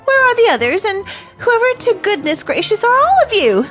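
A woman's voice: extremely high pitch, wildly swooping pitch, fast talker, a bit bright, soft background music, voice pushed up, full character voice. A woman voicing a scolding, scornful nursemaid in a storybook, brusque in manner. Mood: anxious, flustered. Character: scolding, scornful nursemaid guarding her household. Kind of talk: storybook character voice.

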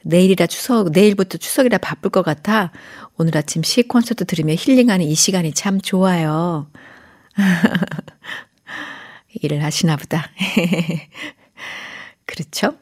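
Soft-spoken female radio DJ, gentle and chatty. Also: lowish voice, measured pace. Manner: soft, gentle and chatty, often drops to a whisper and laughs lightly. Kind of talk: radio show, poetry reading and reading listener texts. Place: radio studio.

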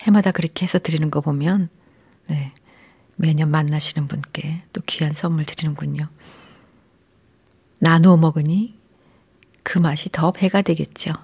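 A middle-aged woman hosting a radio show in a studio, chatting gently with listeners and often softening into a breathy near-whisper. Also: sits low, steady tone, moderate pace, pauses often. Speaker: radio host. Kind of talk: warm radio chat reading listener messages. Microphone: studio microphone.

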